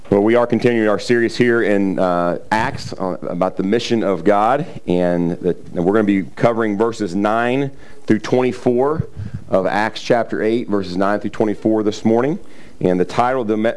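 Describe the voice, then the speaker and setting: A middle-aged male pastor delivers a sermon in a slightly raspy, somewhat low voice. He speaks casually and conversationally, with plenty of fillers and the occasional throat clearing. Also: a little mellow. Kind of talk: sermon with scripture reading.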